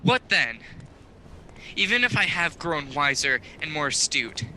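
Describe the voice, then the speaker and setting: An ambitious, defensive young businessman acted by a teenage boy in a radio play. The voice is very bright and sharp, a little high, with an argumentative edge. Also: very animated, unhurried, a little hiss or hum, exaggerated voice, rough recording. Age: teens. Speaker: student actor.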